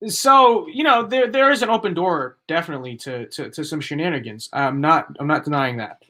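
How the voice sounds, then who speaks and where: Young male streamer, slightly high voice, rambling and emphatic, voice going hoarse. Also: fairly quick, bright, forceful tone. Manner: rambling, emphatic, repeats himself. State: losing his voice. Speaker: livestream political commentator. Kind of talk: live-stream commentary.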